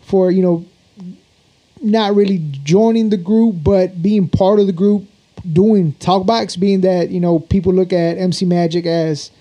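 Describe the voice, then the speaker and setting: A man's voice: high voice, fairly even intonation, measured pace, warm tone, highs cut off. A man hosting a casual interview, putting questions to his guest in an informal, conversational way. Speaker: interview host.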